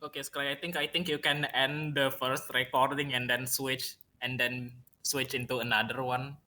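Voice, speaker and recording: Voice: medium pitch, level tone, normal speed, bright, forceful tone. A joking participant in a casual group voice chat who swears freely. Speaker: discussion participant.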